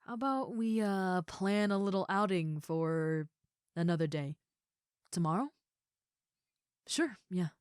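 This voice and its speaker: Audio roleplay: actress as gentle female ogre roommate. Lowish pitch, dry close sound, soft, shy, reassuring, casual delivery. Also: takes it slowly, pauses often, smooth, no room sound. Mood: casual, hesitant. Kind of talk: intimate comfort audio roleplay, addressed to the listener.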